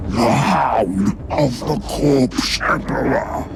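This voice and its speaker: A man voicing a menacing, gloating alien villain in a video game, with a gravelly, theatrical delivery. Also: mid-range voice, drawn-out words, noisy background. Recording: loud music behind the voice.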